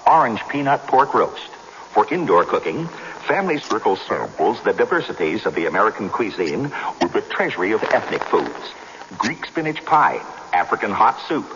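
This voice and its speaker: A low-voiced man reads a vintage radio commercial with animated intonation. The recording is dull and carries a long reverb. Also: a little hiss or hum. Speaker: commercial announcer. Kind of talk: 1970s radio advertising read.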